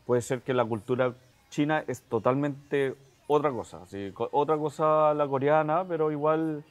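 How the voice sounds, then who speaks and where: A man's voice: a little high, steady tone, normal speed. A man in his thirties from Chile with a Chilean accent, rambling relaxedly with many fillers as a guest on a studio talk show. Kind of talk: casual chat. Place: TV studio set.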